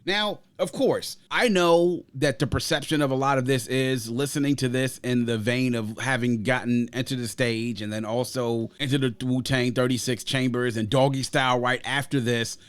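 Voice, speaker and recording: A man's voice: medium pitch, fairly even intonation, moderate pace, few pauses, smooth, no room sound. A man hosting a music podcast, giving an emphatic, conversational review and often checking in with the listener.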